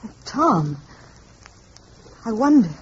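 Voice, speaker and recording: A concerned, gently doubting mother speaks in a fairly high, very expressive voice. Her delivery is poised and theatrical in the 1950s radio drama manner, over a muffled recording with clear noise. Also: slow pace. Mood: uneasy.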